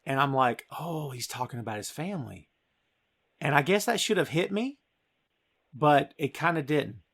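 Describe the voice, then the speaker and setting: A man's voice: fairly high pitch, expressive, moderate pace, gravelly, a bit bright, slightly rough. A middle-aged American man hosting a podcast, talking about film in a casual, emphatic, conversational way. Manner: emphatic, conversational, addresses listener as "dude". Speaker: podcast host.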